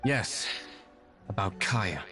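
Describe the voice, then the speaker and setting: A man playing a cool, calculating nobleman in a video game, measured and formal. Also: on the low side, lively intonation. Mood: guarded, calculating. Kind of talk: video game character acting. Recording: game music clearly behind voice.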